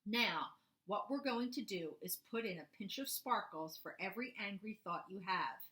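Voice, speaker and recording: A woman voicing a kindly, patient old grandfather cow in a storybook, gentle and coaxing. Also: mid-range voice, expressive, moderate pace, roomy sound.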